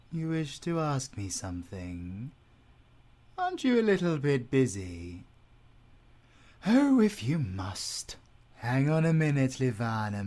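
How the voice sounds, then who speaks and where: A man's voice: mid-range voice, a little mellow. A British man playing a suave, theatrical werewolf alpha villain in an audio roleplay, mocking and doting in a flamboyant, drawling way. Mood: mock impatient, then teasing.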